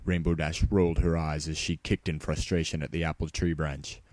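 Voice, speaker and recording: A man's voice: very deep voice, little pitch movement, brisk, a little mellow, no echo. A man reading a scripted story aloud as a dramatic narrator. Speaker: fan fiction narrator. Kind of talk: dramatic scripted narration, spoofing an audiobook reader.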